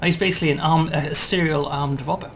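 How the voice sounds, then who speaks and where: Slightly high-voiced man explaining a game. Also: steady tone, fairly quick, background noise. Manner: explanatory, frequent "right?" and "um". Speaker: game developer demoing.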